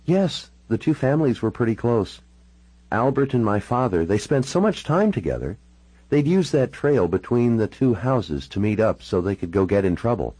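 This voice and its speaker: Audiobook role: troubled, candid woman, wry and informal, husky, lifted pitch male narrator performing. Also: lively intonation, normal speed, dark tone, no room sound, poor audio. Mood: matter-of-fact. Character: troubled, sardonic young-to-middle-aged woman, hard-drinking and candid. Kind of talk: audiobook character dialogue.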